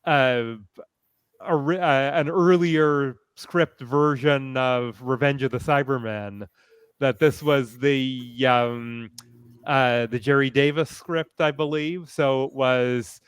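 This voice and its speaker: A man speaking as a panelist in a fan discussion, hesitant and thoughtful, often halting as he phrases things. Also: mid-range voice, fairly even intonation, clean tone, dry recording.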